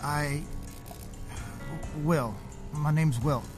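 A man's voice: fairly high pitch, very slow, soft background music. An actor playing a dazed, injured male train conductor in an audio drama, stammering and hesitant.

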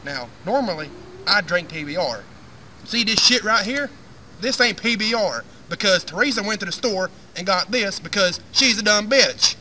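A man's voice: high-pitched, lively intonation, normal speed, bright, forceful tone, exaggerated voice. A man playing a crude, drunken redneck storyteller with a brash, profane, drawling Southern US accent in a comic monologue. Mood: gruff, irritated. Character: crude, drunken redneck man spinning rambling foul-mouthed tales. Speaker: storytelling host character. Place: outdoors on a wooden deck in woods. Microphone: camera a few meters away. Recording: distant camera mic with faint outdoor noise.

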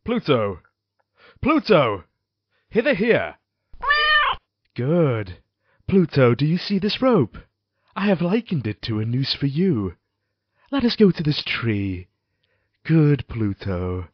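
Tormented husband with sweeping, dramatic pitch. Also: male voice, very animated, no room sound, exaggerated voice. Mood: menacing, commanding. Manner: theatrical, halting, with dramatic pauses. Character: tormented, drunken, cruel husband confessing his descent into perverse violence. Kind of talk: gothic audio drama monologue, archaic literary speech. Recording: dull lo-fi audio, loud background music.

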